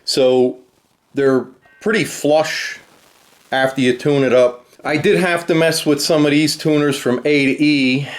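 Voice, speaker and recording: A man's voice: on the high side, steady tone, measured pace. A man giving a casual, unscripted hands-on tutorial and review, explaining step by step in a blunt way. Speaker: host of a guitar gear tutorial and review.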